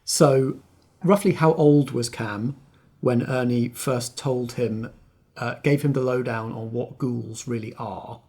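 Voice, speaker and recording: A man's voice: medium pitch, fairly even intonation, slow pace, mellow, husky. A man narrating an improvised tabletop roleplaying scene as game master.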